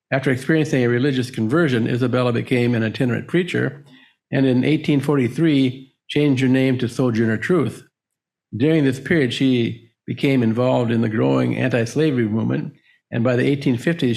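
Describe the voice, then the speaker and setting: A man reading scripted biographical narration in a measured, formal way, as a minister introducing a reading. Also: medium pitch, creaky, darkish tone.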